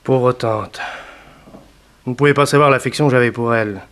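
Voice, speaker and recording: A man's voice: mid-range voice, moderate pace, fairly bright tone, natural voice. An actor playing a harried male show producer in a 1960s French radio drama, effusive and flustered. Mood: shocked.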